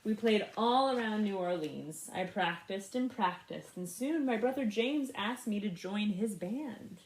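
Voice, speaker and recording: Woman, mid-pitched voice, expressive children's read-aloud, animated manner, roomy echo. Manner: animated, pauses to ask young listeners questions. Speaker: storybook reader.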